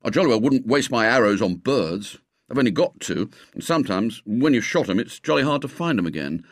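A man's voice: medium pitch, lively intonation, brisk, dry recording, studio quality. A man voicing an earnest, stubborn schoolboy adventurer from a storybook, stolid and self-important. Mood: patient. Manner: earnest, stolid, boyish slang.

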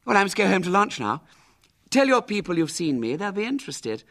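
A man's voice: sits high, sing-song, fairly quick, a bit bright, exaggerated voice. A reader voicing a spoiled, self-important famous little boy with a haughty manner. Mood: boastful.

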